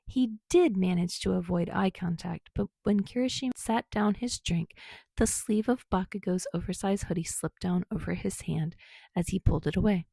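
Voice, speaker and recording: A woman's voice: medium pitch, moderate pace, gravelly, dark tone, slightly rough, no echo. A woman reading a story aloud as scripted audiobook narration.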